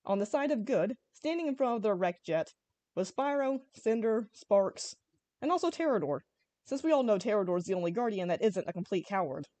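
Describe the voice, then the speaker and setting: A woman narrating an amateur comic story in a playful, expressive way. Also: mid-range voice, a little fast, no room sound. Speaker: story reader.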